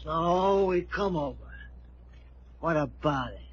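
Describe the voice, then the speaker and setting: An actor playing a surly, sneering hired tough in an old-time radio drama, on an old broadcast recording. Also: male voice, on the high side, expressive, takes it slowly, husky, muffled recording, exaggerated voice.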